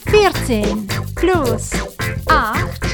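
A woman teaching German to learners, speaking clearly and patiently and pausing so the listener can repeat. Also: high-pitched, lively intonation, loud music behind the voice. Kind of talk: slow, clear language lesson with repetition drills.

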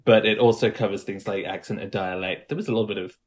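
A man's voice: fairly low pitch, fairly even intonation, quick pace. A man from the English Midlands with an English accent, chatting as a guest accent coach and explaining things with examples. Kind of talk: casual interview chat.

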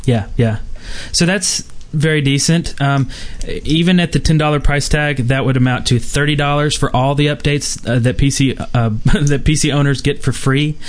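A man's voice: fairly high pitch, steady tone, normal speed, few pauses. A man hosting a casual podcast, reading out gaming news with many fillers and restarts. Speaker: podcast host.

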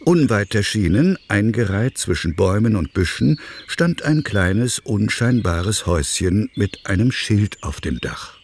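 A man narrating a scripted children's story as an expressive storyteller. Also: lowish voice, slight background noise. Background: music.

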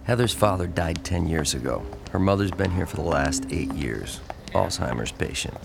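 An actor playing a concerned, supportive reporter in an audio drama, speaking in a dry, understated way. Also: male voice, deep voice, animated, moderate pace, creaky, music in the background, exaggerated voice. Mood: matter-of-fact. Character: male reporter friend, low-key, concerned and supportive. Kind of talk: naturalistic audio drama acting.

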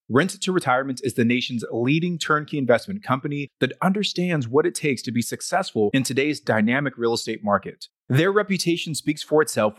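A man's voice: medium pitch, no room sound. Dry-sounding male podcast host. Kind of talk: scripted ad read and casual interview talk.

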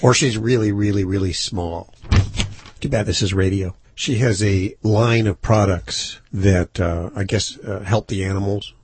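A male radio host with a slightly low voice, bantering with co-hosts in a dry, joking way. He reads from notes and drifts into asides. His delivery is casual and unhurried. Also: darkish tone, husky.

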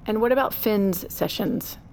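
A woman's voice: medium pitch, a little slow, gravelly, darkish tone, a little hiss or hum. A woman playing a blunt, driven scientist in an audio drama, terse and demanding in her delivery. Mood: suspicious, agitated. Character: driven, blunt female scientist, increasingly alarmed.

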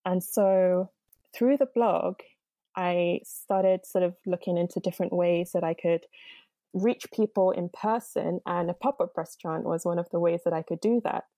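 A young woman from Nigeria speaks as a podcast guest, her voice mellow and a little low. She tells her story at length, loose and chatty, with plenty of fillers. The recording is close and dry. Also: normal speed, no room sound. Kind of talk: interview conversation.

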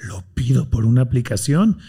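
A Mexican man talks with passion and speed as an interview guest. His voice is warm and mellow, with a husky edge to it. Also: medium pitch. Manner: passionate, fast and animated, acts out quotes. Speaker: guest, healthy food entrepreneur. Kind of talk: casual interview conversation.

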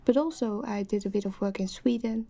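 A young woman from the Netherlands with a Dutch accent, a PhD student guest on a podcast who speaks with many hesitant pauses. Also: mid-range voice, warm tone. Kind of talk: podcast interview.

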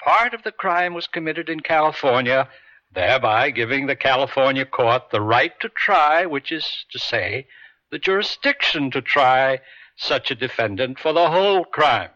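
An actor playing a stern judge in an old-time radio drama, speaking in a measured, formal, authoritative way. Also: male voice, mid-range voice, animated, sharp tone. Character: stern, deliberate male judge delivering a ruling. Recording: dull vintage radio drama recording.

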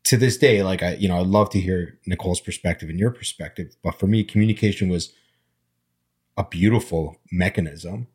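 A man's voice: deep voice, brisk, darkish tone, husky. A man in his forties who grew up speaking Armenian and Arabic, reflecting on his past in a casual conversation. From immigrant, grew up speaking Armenian and Arabic. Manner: reflective, storytelling, frequent fillers. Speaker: panel guest.

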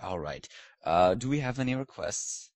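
A haughty British lord, as played by a man in a put-on accent, hosts a comedic podcast interview in a very deep voice that swoops up and down. He talks in a mock-posh, teasing way. The sound is dry and close. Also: expressive, moderate pace, dry recording. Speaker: host.

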